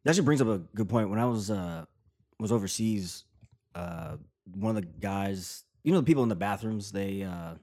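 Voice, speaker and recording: A man in casual conversation, laid-back, swearing freely and pausing on "uh". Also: on the low side, lively intonation, darkish tone.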